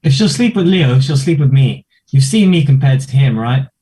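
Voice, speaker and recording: A man's voice: mid-range voice, expressive, normal speed, dark tone, natural voice, low-quality recording. An actor, a man, reading the part of a crude, boastful young bully in a play table read.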